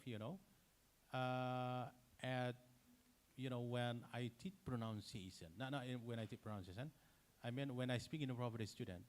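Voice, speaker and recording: A middle-aged man from Sumatra with an Indonesian accent, answering a conference question unscripted, halting and full of fillers and self-corrections. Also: medium pitch, darkish tone. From Kerinci, Sumatra, Indonesia. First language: Indonesian regional dialect.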